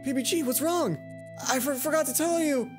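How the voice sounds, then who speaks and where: Lady doing a fancy polite pretty boy game character, theatrical, voice pushed higher, music under it. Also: moderate pace, close to an everyday voice. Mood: alarmed. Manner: gracious, theatrical. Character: courtly, flamboyantly polite beautiful young man. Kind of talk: visual novel character reading. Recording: music behind the voice.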